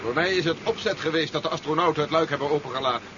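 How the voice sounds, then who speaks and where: An actor playing a suspicious, self-assured army general in a 1970s science fiction radio play. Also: male voice, a little high, racing, lightly acted. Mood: urgent, suspicious. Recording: dull, noisy 1970s radio drama recording.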